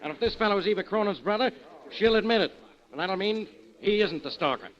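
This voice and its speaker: A man's voice: sits high, expressive, a little fast, a bit bright. A man playing an impatient police detective in 1940s radio style, blustery and argumentative. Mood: exasperated. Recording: dull lo-fi old radio recording.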